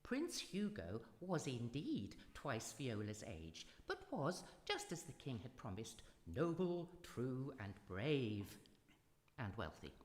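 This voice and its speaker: Low-voiced, expressive woman storyteller. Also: slow. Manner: dramatic storytelling. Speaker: narrator. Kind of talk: scripted fairy-tale narration for radio drama. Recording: echoey hall, music behind.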